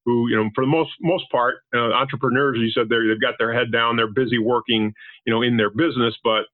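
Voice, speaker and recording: A man from Panama, first language Spanish, answers interview questions in a measured, explanatory way and often doubles his words. His voice comes through a narrow, tinny phone line with a dry sound. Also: medium pitch, fairly even intonation, no echo. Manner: measured, explanatory, frequent repetitions. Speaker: podcast guest, business consultant.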